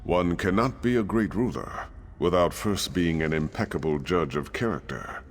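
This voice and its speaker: A man's voice: deep voice, normal speed, slight background noise, lightly acted. A man voicing a dignified, gracious king in a video game, with stately, formal delivery. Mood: stately, proud. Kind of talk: scripted game dialogue. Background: game music.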